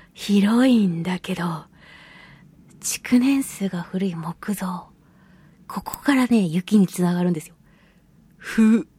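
A woman hosting a radio show solo, chatting casually and playfully to listeners with drawn-out words and colloquial asides. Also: mid-range voice, darkish tone, slightly rough, no room sound. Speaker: radio show host, voice actress.

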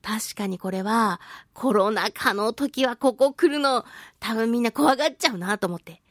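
A woman's voice: mid-range voice, no echo, clean studio sound. A woman hosting a talk show, telling a personal anecdote in a casual, playful and chatty way. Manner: animated, chatty storyteller with playful self-mockery. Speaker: host of a talk radio show, voice actress.

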